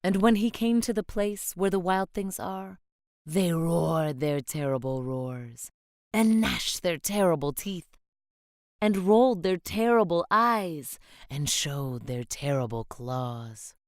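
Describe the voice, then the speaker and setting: A woman reads a picture book aloud in a slow, unhurried rhythm, gentle and expressive. Her voice sits a touch low, and the sound is close and dry with no room at all. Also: no room sound. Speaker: storybook reader. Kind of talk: read-aloud children's storybook narration.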